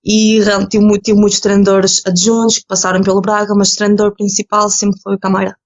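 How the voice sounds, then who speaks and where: A woman's voice: mid-range voice, little pitch movement, fairly quick. Woman, young adult, interview guest, easygoing, dull call audio. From Ukraine, raised in Portugal. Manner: easygoing, laughs often, some false starts. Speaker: guest, competitive swimmer. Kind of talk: casual interview answers.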